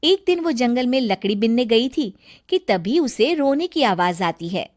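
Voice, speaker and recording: A woman's voice: fairly high pitch, lively intonation, a little slow. A woman narrating a scripted moral story for a cartoon, as a storyteller.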